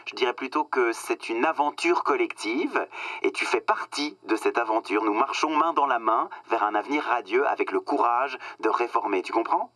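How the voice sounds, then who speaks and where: A slick male corporate boss, played by a radio actor, with a bright, forceful edge and a dry studio sound. He coaches in a condescending, mock-earnest way, leaning on pauses to sell his cynical satire. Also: medium pitch, no echo, natural voice. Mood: smooth, condescending. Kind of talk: satirical French radio drama acting.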